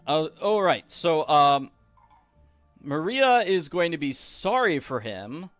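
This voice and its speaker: A man's voice: a little high, big pitch swings, takes it slowly, a bit bright, no echo, soft background music. A man hosting a video game walkthrough with casual, chatty commentary, recorded close on a mic. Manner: chatty, acts out quoted lines.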